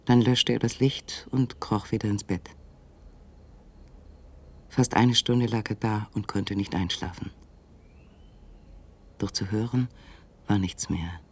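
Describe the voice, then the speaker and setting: A man narrating a literary suspense radio play in a measured, controlled manner. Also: on the low side, steady tone. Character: third-person narrator of a literary suspense story. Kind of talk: scripted radio drama narration.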